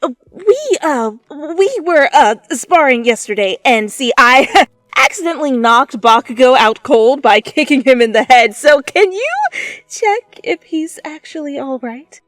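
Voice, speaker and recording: A high-voiced, feisty teenage student, voiced by a woman, talks in an animated, easily flustered way. It is a dramatic fan fiction reading, close and dry over music. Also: measured pace, dry recording. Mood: nervous. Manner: animated, stammering, swinging from taunting to apologetic.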